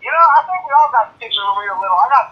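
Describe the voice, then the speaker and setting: A man's voice: very high-pitched, lively intonation, racing, dull audio. A man voicing a comic hillbilly cowboy clown, folksy and rambling, as a guest on a video. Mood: goofy, folksy.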